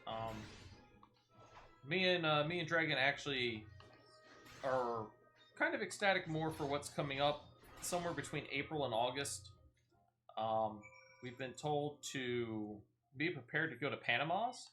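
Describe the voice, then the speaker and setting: A man with a bright, forceful voice at an average pitch streams a video game. He talks in a casual, conversational way, rambling from one thought to the next with a playful streak. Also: lively intonation, unhurried, pauses often, faint music behind. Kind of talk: casual gameplay commentary with chat.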